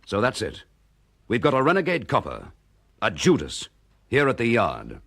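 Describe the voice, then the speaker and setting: A man's voice: medium pitch, wildly swooping pitch, normal speed, over music. An actor playing a determined, earnest detective chief inspector who presses his case bluntly. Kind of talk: 1960s radio drama acting.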